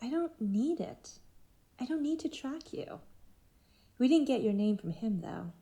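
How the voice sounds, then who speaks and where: Actress as smug, high-voiced, swooping group leader. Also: female voice, sing-song, warm tone. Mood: coolly certain. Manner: taunting, deliberate, assured. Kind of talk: fiction podcast drama.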